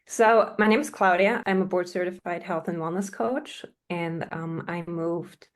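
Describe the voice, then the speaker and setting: A woman's voice: on the low side, measured pace. A woman from Switzerland, a health and wellness coach introducing herself in friendly, fluent talk with frequent fillers. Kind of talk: self-introduction at an online networking meeting.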